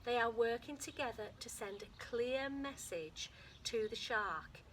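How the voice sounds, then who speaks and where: A woman teacher with a British accent reading a children's picture book aloud, with expressive storytelling that sometimes drops to a soft whisper and stops to explain words simply for young children. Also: fairly high pitch, unhurried, slight background noise. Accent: British English. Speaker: infant school teacher.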